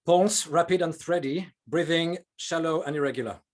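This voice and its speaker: Woman as a calm, low-voiced medic. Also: normal speed. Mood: urgent, clinical. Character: calm, reassuring emergency medical worker. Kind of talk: rehearsed table read acting.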